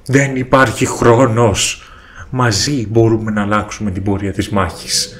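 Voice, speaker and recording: A man voicing an ancient wise sage in a cartoon, giving slow, solemn counsel. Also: lowish voice, steady tone, a bit bright, a little hiss or hum, lightly acted. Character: ancient wise sage spirit, calm and grave.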